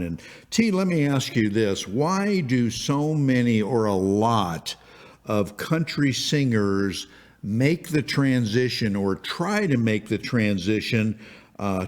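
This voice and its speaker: A man hosting a podcast interview, asking chatty, rambling questions. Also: fairly low pitch. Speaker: podcast host.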